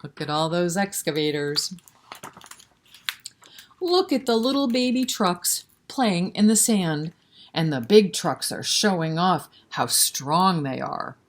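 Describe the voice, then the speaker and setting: Lady doing library storytime for little kids, really unhurried, voice a bit on the low side, expressive and encouraging, asks questions. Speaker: librarian leading story time for children. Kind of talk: animated picture-book read-aloud with asides to children.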